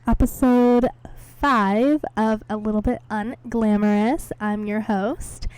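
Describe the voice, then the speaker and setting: Young female podcast host, hoarse from a cold, relaxed dark-toned, chatty and rambling. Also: a little high, slow. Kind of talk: casual solo chat. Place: home, indoors.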